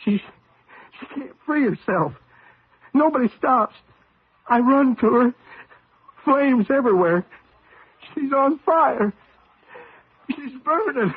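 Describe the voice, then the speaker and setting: An anxious husband, muffled radio sound. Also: male voice, very high voice, animated, husky, no echo, heavily acted. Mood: anguished. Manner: halting, emotional. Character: troubled ex-race driver, anxious devoted husband and father. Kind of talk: radio mystery drama acting. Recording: muffled narrow-band old radio recording.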